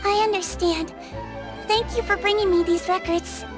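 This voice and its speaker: A woman voicing a gentle, tiny creature head nurse in a video game, speaking sweetly and reassuringly. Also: extremely high pitch, steady tone, moderate pace. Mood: earnest. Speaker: nurse and consultant. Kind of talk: video game dub dialogue. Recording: game cutscene with music beneath. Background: music.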